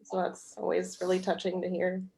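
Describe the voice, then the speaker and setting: A woman author-illustrator chatting informally in an interview, thinking aloud in a halting way. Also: fairly low pitch, completely flat delivery, gravelly, warm tone. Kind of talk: casual interview chat.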